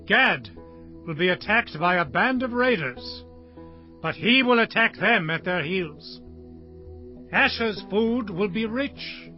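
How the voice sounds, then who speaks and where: An actor playing a dying old patriarch solemnly blessing his sons in a dramatized audio Bible, in a declamatory, deliberate manner. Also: high voice. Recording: dull lo-fi audio with music bed. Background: background music.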